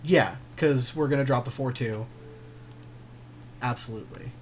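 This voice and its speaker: A man's voice: mid-range voice, a little slow, background noise. A man chatting informally as he co-commentates a card game he is playing. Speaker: podcast co-host and player. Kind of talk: casual co-commentary on a card game being played.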